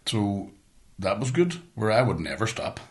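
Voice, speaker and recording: A man's voice: on the low side, big pitch swings, moderate pace. A man in his forties with a Northern Irish accent, chatting casually and telling stories in sweary, colloquial talk. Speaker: podcast host. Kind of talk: casual chat.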